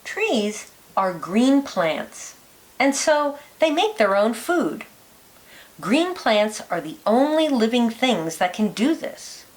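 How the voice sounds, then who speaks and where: A woman reads a children's book aloud in a teacherly way. Her pace is unhurried and her pitch moves in lively rises and falls. She places each phrase deliberately, lilting on key words.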